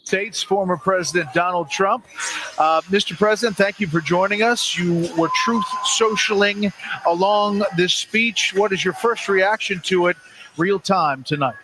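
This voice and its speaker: A man's voice: high voice, unhurried. A man interviewing as a TV news host, asking questions in a formal, courteous way. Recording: noisy played TV broadcast.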